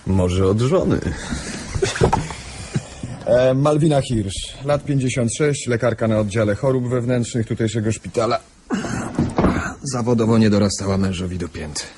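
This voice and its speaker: An actor playing a matter-of-fact police investigator, clipped and businesslike, in a radio play. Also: male voice, medium pitch, measured pace, pauses often, background noise, natural voice. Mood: factual briefing. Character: businesslike, eager male police investigator briefing his partner. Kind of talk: radio drama acting.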